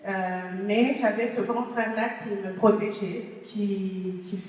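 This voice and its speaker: Woman, slow, hesitant testimony, heard from far off in a reverberant church hall. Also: medium pitch, fairly even intonation, darkish tone, a little hiss or hum. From Congo. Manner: halting, many hesitations. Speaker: testimony speaker. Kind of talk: personal testimony.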